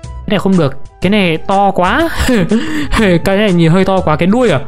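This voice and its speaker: A young woman giving casual, playful game commentary to her viewers, giggly and often repeating words in quick bursts. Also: mid-range voice, expressive. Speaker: gaming video creator. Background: music.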